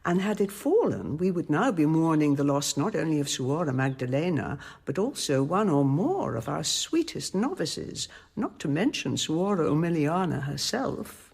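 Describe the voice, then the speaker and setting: An actress playing a shrewd, composed abbess in a radio drama, speaking with husky, measured authority and dry irony. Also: female voice, low-pitched, very animated, a little mellow, heavily acted. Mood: cool, persuasive. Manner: authoritative, measured, dryly ironic. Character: commanding, shrewd, composed convent abbess of mature years.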